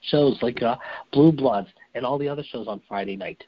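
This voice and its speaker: A hoarse man co-hosts a wrestling show, his voice worn raspy. He delivers blunt, heated opinions at an ordinary pitch for a man. The audio is dull and lo-fi. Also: normal speed. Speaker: co-host.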